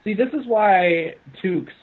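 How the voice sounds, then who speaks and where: A man's voice: high voice, a little slow. A man chatting casually as co-host while watching a film, wry and questioning. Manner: wry, questioning, quotes the film in mock voices. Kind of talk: casual group commentary over a call. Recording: tinny call audio.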